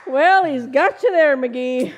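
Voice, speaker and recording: A woman's voice: high voice, slow pace, a little hiss or hum. A woman playing a long-suffering, sensible wife in an old-time radio comedy, chiding in a dry, folksy way.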